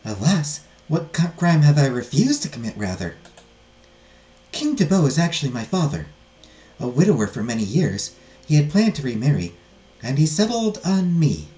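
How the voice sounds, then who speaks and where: Reader voicing a young fugitive princess, formal and polite, earnest, weary, lively intonation, storybook, roomy echo. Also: mid-range voice, normal speed, pitched above the performer's own voice, lightly acted. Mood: sorrowful. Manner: formal, courteous phrasing.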